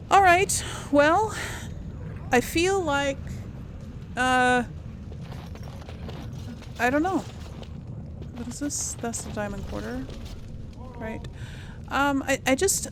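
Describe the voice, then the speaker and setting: A woman's voice: on the high side, slight background noise. A woman hosting a casual gaming let's play, thinking aloud in a hesitant, conversational way. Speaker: gaming video host.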